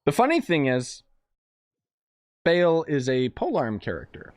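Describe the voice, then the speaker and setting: Young male streamer for a let's-play, jokey and blunt, pitch bounces a lot. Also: huge pitch swings, slight background noise. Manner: joking, blunt, quick to react. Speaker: gaming streamer. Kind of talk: casual let's-play commentary.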